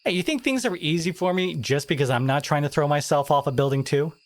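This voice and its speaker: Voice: medium pitch, quick pace, close to an everyday voice. An actor playing a brash teenage school bully who secretly cares, in an exasperated, confrontational audio drama monologue addressed to the listener. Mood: bitter, wounded. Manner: exasperated, confrontational, sighing, emphatic.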